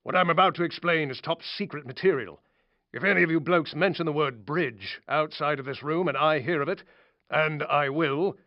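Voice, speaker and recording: An actor playing a stern British army officer giving a formal briefing to troops in a video game, clipped and commanding. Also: male voice, fairly high pitch, no echo, natural voice. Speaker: military officer. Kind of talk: scripted briefing. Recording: dull game audio with clear noise.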